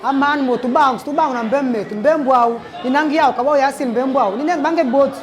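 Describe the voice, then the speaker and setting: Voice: sits high, fairly quick, some chatter behind. A speaker reciting in a rhythmic, chanting manner. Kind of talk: recitation in a local language. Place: museum hall.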